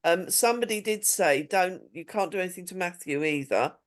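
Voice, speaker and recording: A woman hosting a readers' video call, brisk and organising as she wraps things up. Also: fairly low pitch, animated. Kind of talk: casual video call chat.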